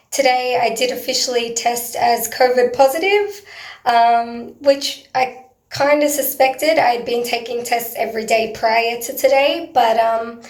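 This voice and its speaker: A woman with a hoarse, croaky voice, talking earnestly and hesitantly in a personal video diary, with frequent pauses. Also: on the high side, darkish tone. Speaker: vlogger. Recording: roomy echo.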